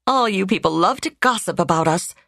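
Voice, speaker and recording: A woman's voice: mid-range voice, lively intonation, a little fast, gravelly, a bit bright, no echo, studio quality. A woman reading an audiobook voices a proud, condescending rich woman with a haughty manner. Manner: haughty, dismissive.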